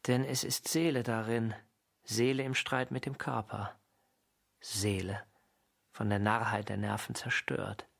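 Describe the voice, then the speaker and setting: Husky, creaky-voiced brooding intellectual. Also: male voice, on the low side, level tone, unhurried, fairly bright tone, full character voice. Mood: musing. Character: brooding, inquisitive male intellectual drawn to the occult. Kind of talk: German radio play dialogue.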